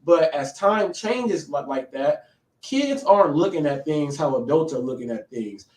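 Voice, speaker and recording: A man's voice: on the high side, animated, measured pace, darkish tone. A man in his late thirties hosting a live show, talking in a rambling way and often checking in with "okay?". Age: 30s. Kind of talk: casual live commentary. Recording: echoey room, a bit far from mic.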